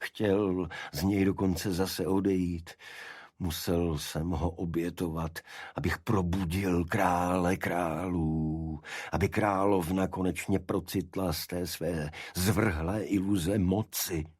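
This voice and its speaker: A man voicing a fanatical, vengeful English gentleman in an audiobook, with impassioned, indignant delivery. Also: low-pitched, fairly even intonation, slightly rough, no room sound, clean studio sound. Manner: impassioned, indignant, halting repetitions.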